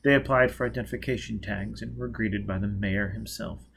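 A man in his thirties reading a novel aloud as an expressive narrator. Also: lowish voice, fairly quick, creaky, slightly rough. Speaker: audiobook reader. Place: small indoor space with a dark curtain backdrop.

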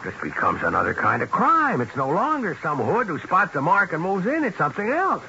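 An actor playing a keen, insistent police detective in a 1970s radio drama, reasoning emphatically. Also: male voice, sits high, huge pitch swings, brisk, vocal fry, sharp tone, full character voice. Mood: intent, reasoning. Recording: dull vintage radio with faint noise.

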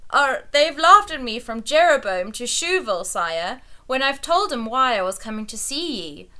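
A woman's voice: on the high side, lively intonation, measured pace, pushed and bright. A woman doing a tearful old shepherd. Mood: earnest. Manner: rustic country dialect, wheezing. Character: poor old shepherd man, tearful, humble.

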